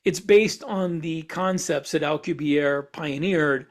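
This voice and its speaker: A man co-hosting a casual podcast, explaining in a halting way and repeating words as he works out his thoughts. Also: on the high side. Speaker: podcast co-host and science fiction author.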